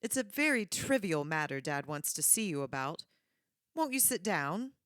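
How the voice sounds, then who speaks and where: A well-mannered young lady of the house, played by a woman in an old radio drama. She is courteous and conversational, pitched a little above the performer's everyday voice. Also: very animated, moderate pace, fairly bright tone, no echo, studio quality. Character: polite young daughter welcoming a guest, Miss Douglas.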